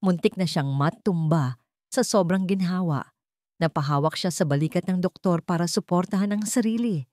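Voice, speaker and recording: Woman narrating a dramatic love story, lowish voice, really dry recording. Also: moderate pace, a little mellow, no room sound.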